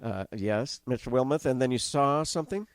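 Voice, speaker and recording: An actor playing an earnest radio news commentator reporting live in an old-time radio drama. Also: male voice, medium pitch, moderate pace, no echo, clean studio sound, lightly acted. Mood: polite, flustered. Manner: formal announcing turning flustered and stammering.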